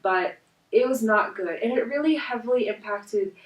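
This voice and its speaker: A woman in her twenties from India, a native Hindi speaker, telling her life story as a life coach in an earnest, reflective way with soft pauses. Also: on the high side. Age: 20s. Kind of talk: personal storytelling vlog. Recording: roomy echo, voice from a distance.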